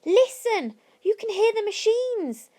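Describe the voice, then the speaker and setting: A woman voicing astonished, gossiping townspeople who exclaim with excitement. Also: very high voice, takes it slowly, pitched above the performer's own voice, full character voice. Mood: amazed, excited.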